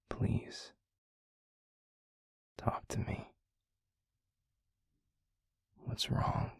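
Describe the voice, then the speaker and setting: A tender, protective male werewolf boyfriend, acted by a man, speaks low and very husky, close to a whisper. He is stubbornly caring, halting and intimate, as in a romantic roleplay audio, with a heavy gravelly rasp to his words. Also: no echo, full character voice. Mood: insistent, pleading. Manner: hushed, halting, intimate pleading.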